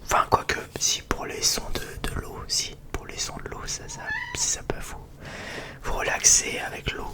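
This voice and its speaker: An ASMR host chatting casually in a whisper, rambling along in informal, slangy speech close to the microphone. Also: moderate pace.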